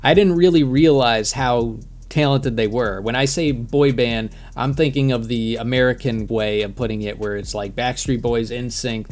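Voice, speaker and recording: A man's voice: mid-range voice, moderate pace, few pauses. A man who is a native English speaker, giving casual, reflective commentary as the host of a music reaction video.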